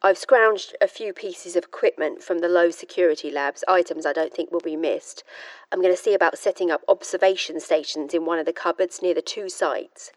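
An actress as a frustrated woman scientist with a fairly low voice, recorded close and dry. She confides in her audio-drama diary with clipped precision and wry, dry wit. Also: brisk, no echo, close to an everyday voice. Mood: resolute, uneasy, then wary, secretive.

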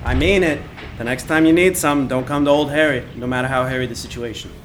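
A man's voice: mid-range voice, fast talker, natural voice. A grumpy dockside man acted by a male performer in a noir radio play. His mid-range voice is curt, folksy and resentful, over a faint bed of ambient noise. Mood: grudging, irritated. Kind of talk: noir radio drama acting.

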